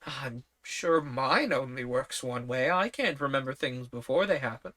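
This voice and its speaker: A woman's voice: rumbling low, moderate pace, a bit bright. Polite, puzzled young girl in a storybook, read by a woman. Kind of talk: storybook reading.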